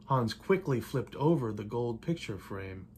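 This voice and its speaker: An older man gives a theatrical read-aloud of a rhyming picture book. His delivery is measured, with playful emphasis on the verse. He is recorded at home, with clear noise in the room. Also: mid-range voice, animated, darkish tone. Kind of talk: rhymed storybook read-aloud. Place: indoors in a home living room.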